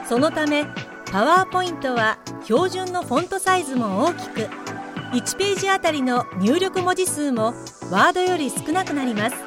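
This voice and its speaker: Woman as radio drama narrator, high voice, composed n formal, explaining stuff over music. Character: composed, matter-of-fact female narrator.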